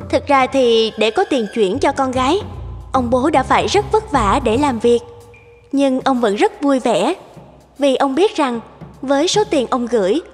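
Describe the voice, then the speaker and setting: Role: woman narrator, high-pitched. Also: lively intonation, a little slow, big-room sound, faint music behind. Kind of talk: scripted storytelling narration.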